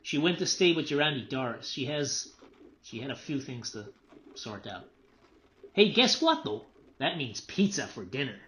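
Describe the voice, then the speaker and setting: An actor in a radio play playing a tense, unravelling writer and father, agitated, irritable and repeating himself haltingly. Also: medium pitch, big pitch swings, fairly bright tone. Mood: distracted, placating.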